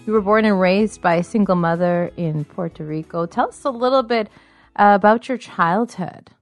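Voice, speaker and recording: A woman talk show host reading a polished, measured introduction of her guest. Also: medium pitch, no room sound. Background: music bed.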